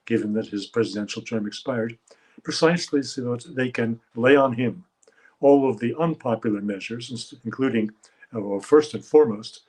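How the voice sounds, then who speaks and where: A man in his seventies, a guest analyst giving measured expert commentary, often pausing with "uh" as he searches for words. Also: medium pitch, a little mellow, breathy edge, low-quality recording. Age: 70s. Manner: measured, halting, with frequent uh fillers.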